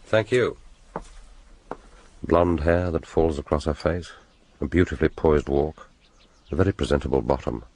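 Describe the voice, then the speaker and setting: An English actor in a radio drama playing a jaded, burnt-out secret agent, dry, understated and politely weary. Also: male voice, a bass voice, normal speed, pauses often, darkish tone, a little hiss or hum. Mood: listless, brooding. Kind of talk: radio drama acting with inner monologue.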